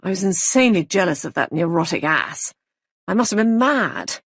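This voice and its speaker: An earnest young husband, tender and a little louder than the narration, as a woman reads him. Gravelly and husky in a dry recording. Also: lowish voice, expressive, normal speed, no room sound.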